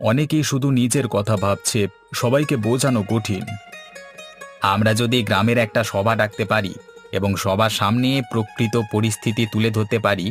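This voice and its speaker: A man's voice: on the low side, close to an everyday voice. A man voicing a concerned, earnest village man who explains things in scripted cartoon dialogue. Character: concerned village man urging neighbours to work together.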